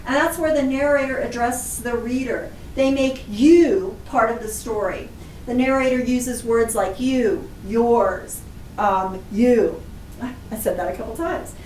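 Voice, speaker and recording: A woman with a high voice teaches a class, explaining step by step and listing examples. She is some way from the mic in an echoing room, with faint noise behind her. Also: unhurried, darkish tone, far from the mic. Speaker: library class instructor. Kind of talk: instructional lesson to children, explanatory.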